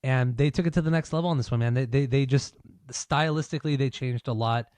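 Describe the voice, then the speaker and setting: A man talks through his favorite records on a podcast, blunt and opinionated, swearing often. His voice is wearing thin and a little hoarse. The sound is dry and close, with no room around it. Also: mid-range voice, fast talker, darkish tone, no room sound. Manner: swears a lot, loose and opinionated. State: losing his voice. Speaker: podcast co-host. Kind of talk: casual podcast chat.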